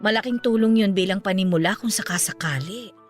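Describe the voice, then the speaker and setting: An actress playing a middle-aged aunt in a radio drama, speaking calmly and matter-of-factly. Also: female voice, medium pitch, brisk, lightly acted. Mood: somber. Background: faint background music.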